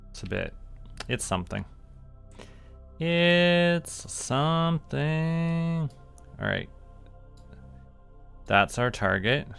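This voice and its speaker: A man's voice: on the high side, animated, very slow, gravelly, pauses often, a bit bright, smooth, soft background music. A Canadian man doing casual gameplay commentary as a streamer, thinking aloud and talking himself through each step.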